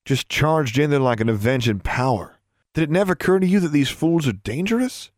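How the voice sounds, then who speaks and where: A man voicing a calm, commanding, sardonic young male wizard in an audiobook, with a patronizing manner. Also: mid-range voice, fairly quick, dry recording, studio quality, lightly acted. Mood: scolding. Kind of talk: audiobook character voice.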